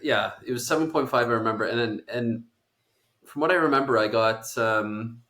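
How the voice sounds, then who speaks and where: A man's voice: mid-range voice, normal speed, pushed and bright. A man, a doctor being interviewed, explaining things at length in a casual, conversational way. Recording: echoey room.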